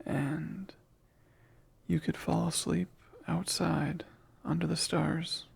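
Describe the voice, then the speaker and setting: A man voicing a lonely, clingy male sleep paralysis demon in an ASMR roleplay, speaking in a soft, breathy whisper with slow, wistful musing. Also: on the high side, steady tone, heavily acted. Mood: tender.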